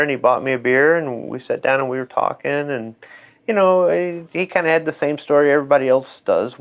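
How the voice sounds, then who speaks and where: A man talking casually in a blunt, plainspoken way, heard through a dull lo-fi connection. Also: medium pitch. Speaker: military veteran guest. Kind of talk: casual conversation.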